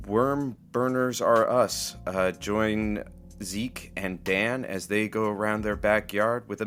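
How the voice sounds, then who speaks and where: An actor playing an awkward, hesitant radio sidekick filling in as the announcer in an audio drama. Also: male voice, lowish voice, a bit bright. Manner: hesitant, fumbling. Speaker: radio producer and stand-in announcer.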